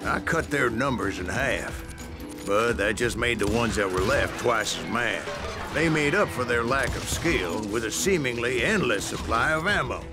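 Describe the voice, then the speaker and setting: An actor playing an old gunslinger in a Western video game, spinning tall tales in folksy frontier slang. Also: male voice, medium pitch, expressive, moderate pace, natural voice. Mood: animated storytelling. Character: old male Wild West gunslinger telling his tall tales. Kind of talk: game voice acting, narrated yarn. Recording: music under the voice.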